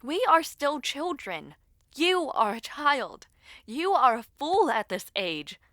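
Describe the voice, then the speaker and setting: A woman's voice: sits high, very animated, takes it slowly, bright, forceful tone, no echo, clean studio sound, pitched above the performer's own voice, exaggerated voice. A woman acting an anxious, protective young princess. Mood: angry pleading.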